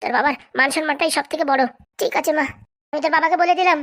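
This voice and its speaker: A woman plays a middle-aged cartoon mother. She talks quickly in a raised pitch, firm and coaxing, nagging until she is understood. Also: fairly even intonation, a bit bright. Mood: firm, warning. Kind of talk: Bengali comedy cartoon dub.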